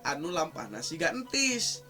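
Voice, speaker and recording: A man's voice: fairly high pitch, sing-song, moderate pace, sharp tone. A man with a Sundanese West Java accent explains like a teacher in an informal, hesitant conversational way, repeating key words for emphasis. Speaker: teacher explaining folk tales. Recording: music playing under the voice.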